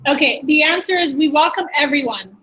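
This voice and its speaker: High-voiced woman in her twenties. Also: a little fast, sharp tone, low-quality recording. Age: 20s. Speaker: guest. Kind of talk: panel answer on a video call. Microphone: video call.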